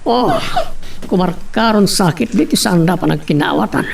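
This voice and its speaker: A middle-aged man playing an exasperated father keeping the peace in an audio drama, with a creaky voice. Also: high voice, animated, moderate pace, heavily acted. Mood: concerned.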